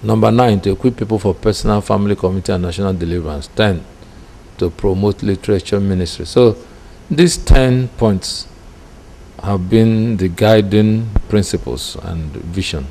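A middle-aged Nigerian-accented man with a deep, mellow voice speaks into a microphone indoors. He sounds measured and formal, setting out points as a ministry leader. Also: a little hiss or hum. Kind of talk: explaining and reading out a vision statement. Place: indoor curtained room. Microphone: handheld-style interview microphone in front.

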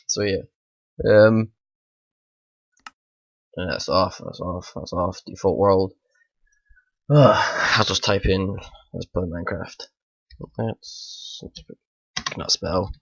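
A man, hoarse from a bad cold, rambling through casual let's play commentary at home. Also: deep voice, no echo, muffled recording. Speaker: gaming channel host.

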